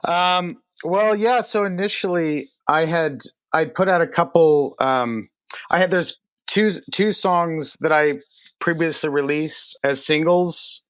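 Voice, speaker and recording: A man from Texas, a musician guest, giving casual interview answers in halting, hesitant phrases. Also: on the high side, fairly bright tone, clear, resonant tone, dry recording. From Texas, United States. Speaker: singer-songwriter guest.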